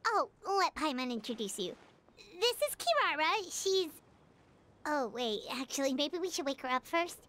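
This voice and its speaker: A woman voicing a tiny, excitable, childlike floating companion in a video game, chirpy in manner. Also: high voice, moderate pace, slight background noise. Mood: chipper. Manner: chirpy, refers to self in third person. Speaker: game character voice. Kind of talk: animated game voice acting.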